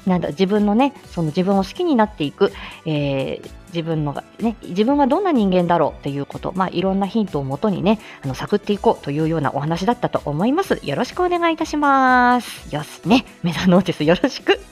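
A woman's voice: fairly low pitch, big pitch swings, quick pace, hardly any pauses, soft background music. A woman hosting a live morning audio show, chatting casually and reading out announcements in a bubbly manner that moves between cute and polite.

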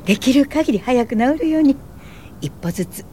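An actress playing an earnest, caring woman social worker in a radio drama, speaking softly and politely as she acts and narrates. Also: high voice, animated, moderate pace, a little hiss or hum. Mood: reassuring, earnest. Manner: soft-spoken, caring, polite.

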